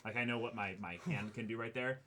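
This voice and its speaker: Bright-voiced young man, animated and slangy. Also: mid-range voice, a little fast, slight background noise. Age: young adult. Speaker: host of an unboxing show. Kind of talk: casual excited chat. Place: small room indoors.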